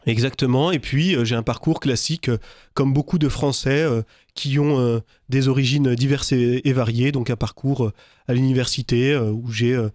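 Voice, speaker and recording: A man's voice: medium pitch, fairly even intonation, normal speed, a little mellow, no echo. A man from Lorraine, a business lawyer, answering a radio interview in a studio, speaking with many hesitations and fillers. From Lorraine, France. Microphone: studio microphone.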